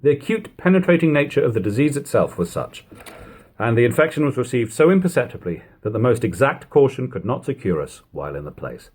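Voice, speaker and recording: Man, literary narration, husky tone, animated swooping pitch, formal emphatic phrasing. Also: brisk. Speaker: audiobook reader. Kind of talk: expressive literary reading aloud.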